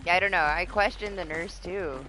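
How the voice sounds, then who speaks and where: A woman's voice: lowish voice, moderate pace, sharp tone, a little hiss or hum, rough recording. A woman streamer chatting casually through her gameplay, sniffly and congested and now and then swearing. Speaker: game streamer. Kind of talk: casual live-stream chat.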